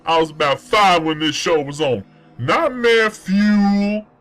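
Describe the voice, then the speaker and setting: A man's voice: high-pitched, slow pace, a bit bright, faint music behind. A man playing a rattled, exclaiming forum poster remembering a scary children's show in a dramatic reading. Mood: appalled.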